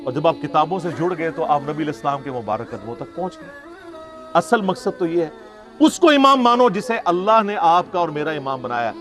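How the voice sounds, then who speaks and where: A man's voice: fairly high pitch, big pitch swings, normal speed. A Pakistani man giving an informal religious lecture, arguing in a sarcastic, conversational way with rhetorical questions. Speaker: Islamic preacher and lecturer. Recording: music playing behind the voice. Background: music.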